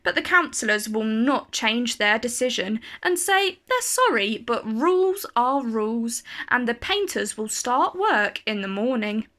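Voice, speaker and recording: A woman reads a storybook aloud for children. Her voice is fairly high and bright, with animated intonation and careful, expressive phrasing that suits young listeners. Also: unhurried, hardly any pauses. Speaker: storyteller reading a children's picture book.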